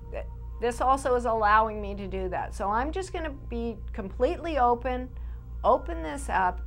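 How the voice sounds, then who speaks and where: A forty-nine-year-old woman hosting her own show, speaking to the camera in an earnest, halting way with frequent restarts. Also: on the high side. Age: 40s. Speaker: show creator and host. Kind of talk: personal address to camera.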